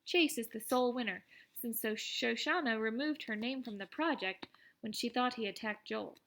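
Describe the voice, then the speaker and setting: An excitable teenage schoolboy voiced by a woman tells his own story in a fairly high voice. He is boastful yet self-deprecating, with a wry, conversational audiobook delivery that stays animated. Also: moderate pace, a little hiss or hum. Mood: earnest. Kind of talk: first-person audiobook narration.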